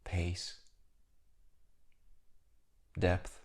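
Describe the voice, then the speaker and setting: A man leading a guided meditation as a teacher, speaking calmly in short, measured phrases with pauses.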